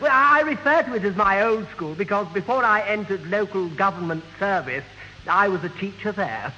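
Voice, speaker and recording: Fussy, high-voiced genteel gentleman. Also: animated, moderate pace, exaggerated voice. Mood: chatty, prim. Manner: flowery, over-polite, nervous tittering. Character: effusive, genteel, fussy ex-teacher gentleman, eager to please. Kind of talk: 1970s radio sitcom acting. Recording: muffled old radio, hiss, hall reverb.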